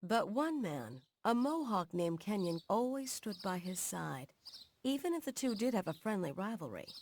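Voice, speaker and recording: An actress playing a wry storyteller who narrates family history in a video game. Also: female voice, mid-range voice, sing-song, moderate pace, a little mellow, no echo. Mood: wistful, wry. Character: wry woman storyteller recounting her family's frontier history. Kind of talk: scripted narration.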